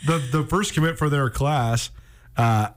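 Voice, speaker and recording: A man's voice: a little high, unhurried, slight background noise. Male sports talk host, conversational and wry. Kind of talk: casual sports talk, bantering and explaining.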